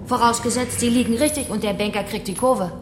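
An actress playing a controlled, taunting kidnapper who runs a betting game in a radio crime drama. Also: female voice, fairly high pitch, a little fast, noisy background, lightly acted. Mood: cool, explanatory. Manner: controlled, taunting, matter-of-fact. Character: cool, mocking female kidnapper running a betting game. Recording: echoing room with music behind.

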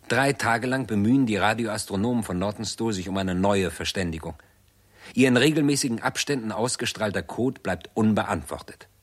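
An actor playing a sober, factual narrator in a scripted science fiction radio play. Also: male voice, on the low side, husky. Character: sober male chronicler of a science fiction story.

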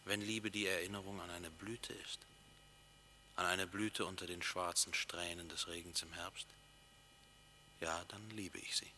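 An actor playing a brooding, dreamy lover in a German radio play, intimate and lyrical, often close to a whisper. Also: male voice, sits low, fairly even intonation, a little slow, long pauses, pushed and bright, slight background noise, exaggerated voice. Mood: melancholy, resigned. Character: brooding, dreamy male lover speaking in dark poetic images. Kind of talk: German radio play acting, poetic and hushed.

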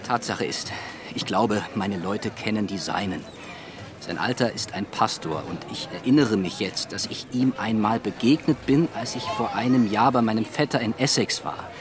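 A man's voice: lowish voice, normal speed, noisy background, natural voice. A male actor playing a proud, indignant ship's captain in a radio drama, speaking bluntly and emphatically. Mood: indignant, scornful. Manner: haughty, emphatic.

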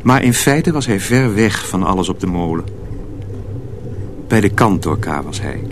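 An actor as a calm, solemn storyteller, reading scripted narration in a radio drama. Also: male voice, sits low, a little slow, noisy background.

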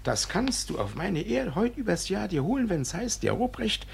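An actor in a 1950s German radio drama playing a shifty, flustered older village judge with a husky voice and a stammering, evasive manner. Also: male voice, high voice, sing-song, normal speed, exaggerated voice. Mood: threatening, urgent. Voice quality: husky, gravelly.

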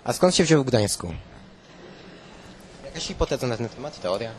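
A man's voice: medium pitch, big pitch swings, normal speed. A man with a Polish accent doing playful vox-pop interviews on the street as a co-host, mock-serious in manner. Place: outdoors on a city street. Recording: noisy outdoor street ambience.

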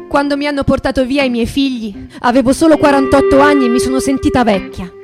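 A woman's voice: high-pitched, fast, natural voice. A woman playing a mourning, defiant activist mother in a poetic radio-theatre monologue, speaking in incantatory, declamatory repetitions. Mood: grieving, defiant. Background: acoustic guitar music.

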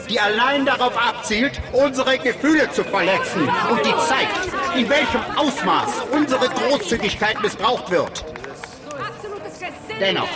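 A man playing a self-righteous populist politician in a radio drama, speaking in pompous, declamatory phrases as at a solemn public speech. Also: high voice. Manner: pompous, declamatory, rhetorical pauses. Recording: echoing public space with music and crowd behind. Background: music and crowd.

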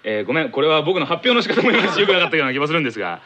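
A man's voice: fairly high pitch, sing-song, rapid-fire, pushed and bright. A man hosting a radio show with casual, playful, teasing banter.

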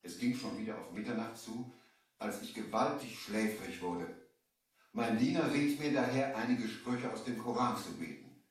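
A man's voice: medium pitch, normal speed, natural voice. An actor playing a grave traveler who tells of his own ordeal, solemn and theatrical, in a radio play. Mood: tense, grave. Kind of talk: dramatic storytelling in a radio play. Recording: echoey distant old vinyl record.

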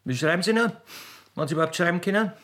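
A man voicing a grumpy, officious young country railway clerk girl with an Austrian accent, halting and cheeky, in a comic radio play. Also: sits high, expressive, a bit bright, voice pushed up. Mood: sullen. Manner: halting, stammering, pedantic, cheeky.

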